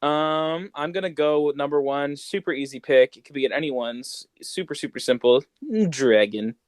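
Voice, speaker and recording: Playful man with a lightly high voice. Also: fairly even intonation, moderate pace, dry recording, studio quality. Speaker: podcast co-host. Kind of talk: casual, joking chat.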